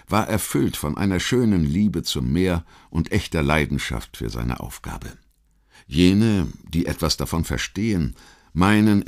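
A man reading a scripted history documentary as an audiobook narrator, in a measured, literary delivery with deliberate phrasing. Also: low voice.

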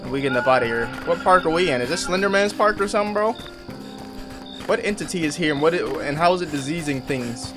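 A man's voice: fairly high pitch, animated, fast talker. A man in his twenties doing casual, slangy reaction commentary as he plays a horror game, tossing out joking asides. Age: 20s. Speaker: gaming video host. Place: indoor gaming setup. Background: game music.

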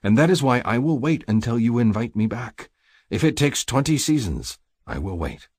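A man's voice: mid-range voice, very animated, moderate pace, breathy edge, dry recording, clean studio sound, voice pushed up. A man voicing an earnest, devoted hunter in an audiobook romance, speaking intensely in formal words without contractions. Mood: tender, fervent.

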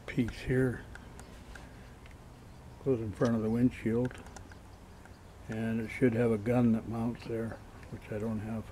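A man giving a casual show-and-tell as a toy collector, low-key and matter-of-fact, on an amateur camera microphone. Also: little pitch movement, takes it slowly, long pauses, warm tone, a little hiss or hum. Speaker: toy collector showing his finds.

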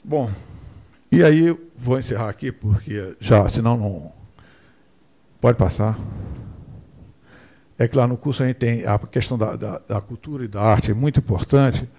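An older Brazilian lecturer speaks from a podium microphone in an indoor hall, his voice mellow and dark. He wanders into asides and keeps asking for the next slide. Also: medium pitch, normal speed, pauses often. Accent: Brazilian Portuguese. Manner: digressive, asks for the next slide. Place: hall with projector screen, indoors.

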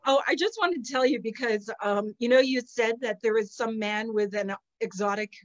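A woman's voice: fairly high pitch, moderate pace, highs cut off. Sniffly, chatty woman on a video call. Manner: chatty, laughs often, animated storytelling. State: a little sniffly. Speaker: psychic channel host. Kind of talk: casual video call chat. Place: outdoor terrace with potted plants. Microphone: laptop webcam on a video call.

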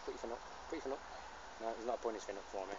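A man gives casual, sweary, excitable commentary while fishing outdoors, often talking to the fish. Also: medium pitch, racing. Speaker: angler presenting his fishing video. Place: outdoors on a lake bank. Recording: dull lo-fi audio with loud outdoor noise.

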